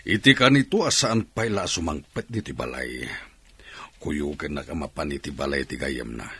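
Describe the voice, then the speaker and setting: An actor playing a stern, domineering Ilocano father in a radio drama, husky and exasperated, with forceful outbursts. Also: extremely low pitch, expressive, normal speed, exaggerated voice. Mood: angry, exasperated. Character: angry domineering father, businessman, scolding about his daughter.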